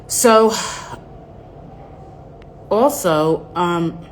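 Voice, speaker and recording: A woman's voice: lowish voice, animated, extremely slow, a little hiss or hum. A woman in her forties with a nasal voice, hosting a live stream in chatty, rambling talk and greeting viewers by name. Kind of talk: casual live-stream chat.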